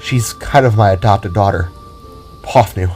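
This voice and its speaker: Man playing an earnest, halting male spark. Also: on the low side, a little mellow, faint music behind. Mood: proud, heartfelt. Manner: halting, heartfelt. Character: earnest male blue spark, moved by reunion.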